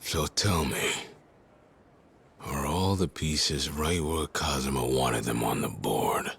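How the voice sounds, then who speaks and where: An actor playing a cocky gangster rival in a video game cutscene, talking low and mocking in a husky half-whisper. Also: male voice, takes it slowly, a bit bright, heavily acted. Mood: taunting. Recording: game audio with music behind.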